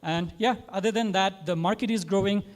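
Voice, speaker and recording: A man's voice: sits high, fairly quick. A man from Nepal speaking on a panel, encouraging students in an earnest, motivating way with rhetorical questions.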